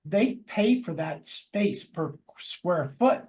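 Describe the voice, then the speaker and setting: A man's voice: high-pitched, expressive, slow pace, a little mellow. New Jersey man with a New York accent. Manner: rambling, hesitant with fillers. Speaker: podcast co-host. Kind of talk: casual chat.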